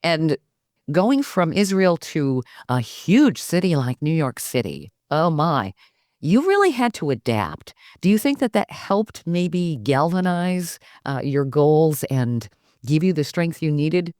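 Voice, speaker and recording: A woman interviewer with a notably low voice that moves very expressively up and down. She keeps up upbeat affirmations and asks her guest questions. The sound is dry and close. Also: big pitch swings, slow, a little mellow, dry recording. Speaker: host. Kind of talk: interview hosting.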